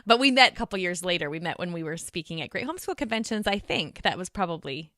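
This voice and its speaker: A middle-aged woman with a bright, forward voice in a dry, close recording. She talks in a chatty, animated way, as a podcast guest sharing casual conversation. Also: on the low side, quick pace, no room sound. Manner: animated, chatty, laughs often.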